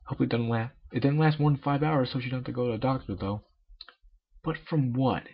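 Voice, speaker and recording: Husky amateur storyteller, a man. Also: mid-range voice, rapid-fire. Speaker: amateur fan fiction reader. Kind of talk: story narration with joking asides. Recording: dull lo-fi home recording.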